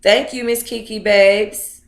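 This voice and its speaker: A woman's voice: medium pitch, fairly even intonation, slow, echoey room. A woman on a casual livestream, talking to viewers in a playful, slangy, chatty way as she hosts and reads aloud. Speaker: livestream host reading their own book aloud.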